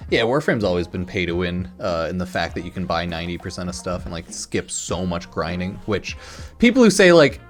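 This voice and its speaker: Low-voiced guy giving casual gaming commentary over music. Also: big pitch swings, brisk. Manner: rambling, opinionated, slangy. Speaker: gaming video creator and commentator. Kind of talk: casual off-the-cuff news commentary.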